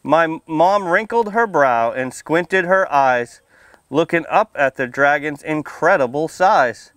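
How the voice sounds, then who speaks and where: A man in his thirties with a smooth, fairly high voice speaks to small children at an outdoor storytime. He is friendly and plain-spoken, like a visiting firefighter educator, and often turns to the children with questions. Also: lively intonation, measured pace, fairly bright tone, no room sound. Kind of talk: read-aloud storytime and casual talk to young children. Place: outdoors under a canopy on a library lawn.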